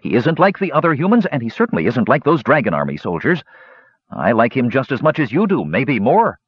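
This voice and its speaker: A man voicing a stubborn, distrustful male dwarf who speaks in a gruff, grumbling way. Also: medium pitch, huge pitch swings, brisk, pitched above the performer's own voice. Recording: dull lo-fi recording.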